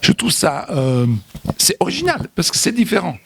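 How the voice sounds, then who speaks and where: Halting man with a creaky voice. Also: high-pitched, huge pitch swings, fairly bright tone, a little hiss or hum. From Europe. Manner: halting, repeats words and phrases. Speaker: interview guest, visitor to Burundi. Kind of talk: unscripted interview answers.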